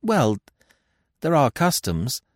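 A man's voice: fairly high pitch, very animated, moderate pace, a little mellow, no room sound, clean studio sound, voice pushed up. A man voicing a proud young captive queen in an audiobook adventure, imperious yet pleading and protesting. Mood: proud, faltering.

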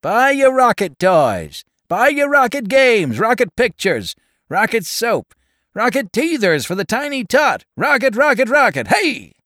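A man's voice: very high voice, sing-song, measured pace, a bit bright, dry recording, studio quality, pitched above the performer's own voice, heavily acted. A man voicing a raucous street hawker barking out sing-song sales patter in a story reading. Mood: raucous hawking. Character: raucous male street hawker selling souvenirs.

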